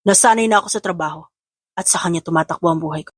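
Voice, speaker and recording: Woman as an intense husky man, talking fast. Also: lowish voice, no echo, clean studio sound. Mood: earnest, lovestruck. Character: intense, possessive young man, threatening and lovestruck. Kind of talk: scripted audio drama acting in Tagalog.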